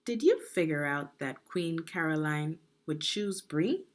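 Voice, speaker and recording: A woman reading a children's storybook aloud expressively, in a deliberate, teacherly manner. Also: low voice, lively intonation. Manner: deliberate, teacherly, asks the listener questions. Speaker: education consultant reading a children's book.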